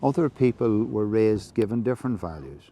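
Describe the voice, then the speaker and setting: An Irish man giving a measured, reflective monologue, explaining things slowly, with many fillers and restarts. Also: fairly low pitch, lively intonation, warm tone, slight background noise. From Ireland. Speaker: podcast host.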